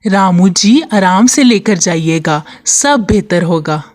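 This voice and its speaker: A woman playing a gentle, devoted village wife in a moral story. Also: lowish voice, animated, slow. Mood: caring. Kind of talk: moral story character dialogue.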